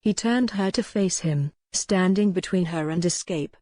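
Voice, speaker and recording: A woman's voice: lowish voice, measured pace, warm tone, no room sound. A woman reading scripted, dramatic historical romance narration as an audiobook narrator.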